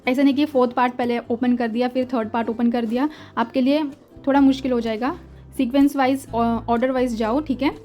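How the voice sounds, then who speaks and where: A young woman teaches German from a textbook, patient and repetitive, mixing Hindi with English. Her voice sits a little high. Soft music plays faintly behind her. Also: steady tone. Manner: patient, repeats words slowly, mixes Hindi and English. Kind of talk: explaining textbook exercises.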